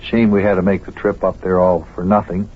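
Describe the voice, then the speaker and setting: A man playing a kindly, patient guardian who also narrates an old children's radio serial in a homey, measured way. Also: low-pitched, little pitch movement. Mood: friendly, matter-of-fact. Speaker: host and narrator. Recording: very thin, phone-like old radio recording.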